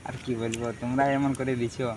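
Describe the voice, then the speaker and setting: A man's voice: mid-range voice, little pitch movement, quick pace, very noisy. A man chatting casually as a prank-call host. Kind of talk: casual chat to viewers and on a phone prank.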